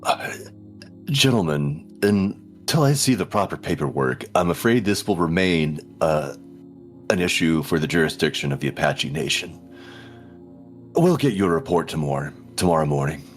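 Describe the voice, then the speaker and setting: A man playing an unflappable, courteous investigator in a tabletop game, speaking in a measured, formal, dryly condescending way. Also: very deep voice, expressive, soft background music. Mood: firm, formal.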